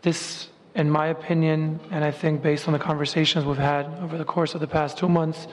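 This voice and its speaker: A man opens and steers a formal group meeting. He talks in a fairly high, level voice, measured and full of small ums. His words ring out with the long reverb of a large meeting room. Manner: measured, filler-heavy, steady delivery. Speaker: meeting facilitator.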